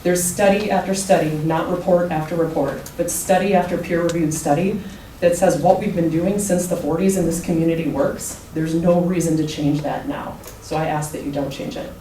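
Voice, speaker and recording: Firm woman with a dark, low voice. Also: steady tone. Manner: firm, deliberate, reasoned. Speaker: resident speaking at public comment. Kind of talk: public comment at a council meeting. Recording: echoey room, distant mic, faint noise.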